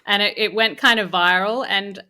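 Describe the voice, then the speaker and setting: A woman's voice: medium pitch, fairly even intonation, moderate pace, pushed and bright. A woman telling stories casually as an interview guest. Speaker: podcast guest, audio drama co-creator. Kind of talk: casual chat, storytelling.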